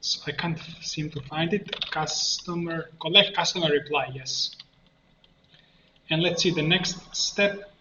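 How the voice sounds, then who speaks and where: A man giving an unscripted screen-share software tutorial, explaining step by step in a halting way. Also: a little high. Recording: muffled roomy mic with faint noise.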